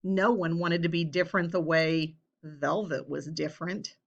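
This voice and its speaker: A woman reading a children's story aloud in an expressive, measured way, pausing for emphasis. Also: low-pitched, lo-fi sound. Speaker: storybook reader. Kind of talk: children's read-aloud, scripted narration.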